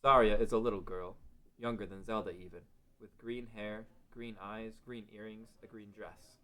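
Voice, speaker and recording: A man's voice: fairly low pitch, steady tone, normal speed, fairly bright tone, rough recording. A man narrating a scripted fantasy story as part of a table read.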